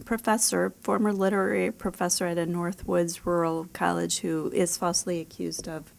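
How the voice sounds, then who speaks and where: A woman's voice: fairly low pitch, level tone, moderate pace, creaky. A woman author talking as a guest in a casual interview, explaining things with the odd laugh in her speech.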